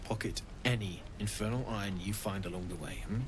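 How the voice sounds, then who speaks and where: Man as an earnest, gentle tinkerer smith. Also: on the low side, brisk, noisy background, natural voice. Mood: hopeful. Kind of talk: video game acting.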